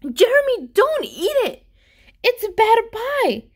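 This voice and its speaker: A woman's voice: extremely high pitch, big pitch swings, slow pace, pitched above the performer's own voice, exaggerated voice. A woman voicing an earnest, anxious young boy in a storybook read-aloud. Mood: panicked. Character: young boy narrator, earnest and anxious about his friend.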